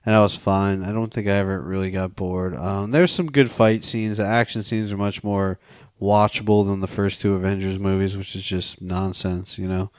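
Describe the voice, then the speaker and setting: A man's voice: sits low, little pitch movement, normal speed, a little mellow, clean tone, no room sound, studio quality. A man co-hosting a film podcast, talking casually with slangy, profane wording.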